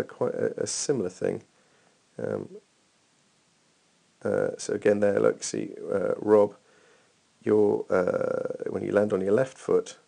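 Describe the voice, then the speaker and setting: Man, low voice, strongly creaky, informal coaching commentary, hesitant, thinking aloud. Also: dark tone. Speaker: running coach. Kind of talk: informal technique commentary over training video.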